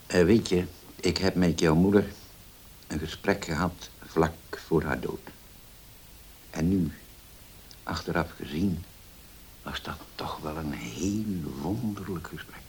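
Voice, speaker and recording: A hissy old radio drama recording of a grave middle-aged father. His voice is very low and he speaks slowly and haltingly, weighed down by old betrayal. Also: gravelly, darkish tone, heavily acted. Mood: grave, hesitant. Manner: halting, hesitant, solemn, with many pauses. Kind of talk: Dutch radio play acting.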